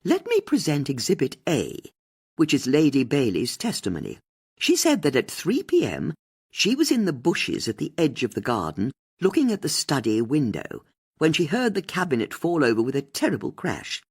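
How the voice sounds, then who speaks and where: A woman's voice: deep voice, huge pitch swings, normal speed, darkish tone, slightly rough, heavily acted. A woman voicing a shrewd, triumphant older female amateur sleuth in an audiobook, speaking precisely and theatrically as if laying out a case. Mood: triumphant, explaining. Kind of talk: audiobook character dialogue.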